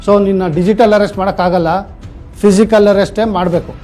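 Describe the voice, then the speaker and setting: Male actor as bossy fake police boss, high mellow voice, condescending, manipulative, awareness skit, faint music. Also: fairly even intonation, brisk, natural voice. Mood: bossy, threatening. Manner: condescending, authoritative.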